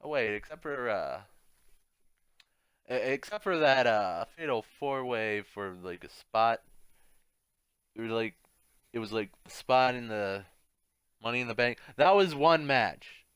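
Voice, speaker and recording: Smooth-voiced man rambling over a video game. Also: medium pitch, animated, measured pace, long pauses, dry recording. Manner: rambling, repeats himself, swings from muttering to shouting. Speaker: gaming video host. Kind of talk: casual gameplay commentary.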